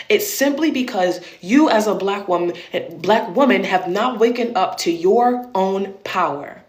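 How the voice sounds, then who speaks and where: Blunt deep-voiced woman, roomy echo. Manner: blunt, emphatic and profane, with repeated punchy phrases. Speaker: online creator and educator. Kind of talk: direct-to-camera monologue.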